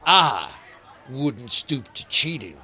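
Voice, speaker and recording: Man playing a bright, defensive accused farmer. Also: mid-range voice, big pitch swings, slow, husky, exaggerated voice. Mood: defensive, protesting. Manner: emphatic, protesting innocence, theatrical. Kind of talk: comic children's audio drama acting.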